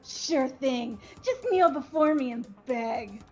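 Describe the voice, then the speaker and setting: A volatile, obsessive young woman from a visual novel game, acted by a woman. Her voice sits high and her delivery is slow and touchy, over background music. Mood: brash, menacing. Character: timid, stammering, devoted young woman with a brash sadistic other self.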